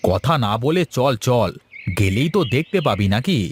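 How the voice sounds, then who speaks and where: A man's voice: medium pitch, sing-song, normal speed, slight background noise, lightly acted. A man voicing an obliging, easygoing village uncle in a cartoon fairy tale. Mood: instructing.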